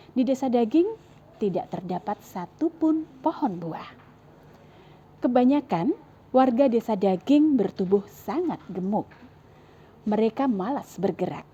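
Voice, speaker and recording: A woman with an Indonesian accent tells a children's story in a sing-song way and keeps talking straight to her young listeners. Her voice is warm and mellow with a breathy edge. The clip-on mic recording sounds dull and lo-fi. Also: mid-range voice. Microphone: clip-on lapel mic.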